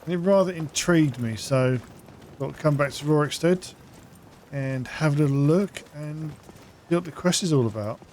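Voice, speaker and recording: A man's voice: on the high side, moderate pace, slight background noise. A man hosting a gaming video, giving casual playthrough commentary and reading in-game text aloud. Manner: chatty, self-narrating. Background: soft game music.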